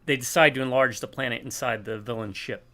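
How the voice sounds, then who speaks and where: A man's voice: medium pitch, a little fast, creaky, a bit bright. A man chatting casually while gaming, telling a long rambling story and acting out bits of dialogue. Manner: rambling storytelling, acts out quotes. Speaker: gamer co-op player.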